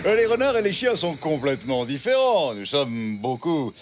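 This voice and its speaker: A man's voice: a little high, sing-song, moderate pace, music in the background. An actor voicing a snobbish, pampered male house dog who talks down to others in a haughty, disdainful way. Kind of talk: French cartoon dubbing.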